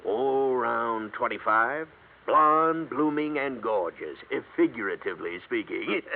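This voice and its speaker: Gossipy insurance fellow, American accent, colorful slang, animated intonation, old radio play, tinny narrow recording. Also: male voice, medium pitch, a little slow, vocal fry. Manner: jokey, colorful, slangy storytelling. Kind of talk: old-time radio drama acting. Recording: thin, band-limited vintage radio recording.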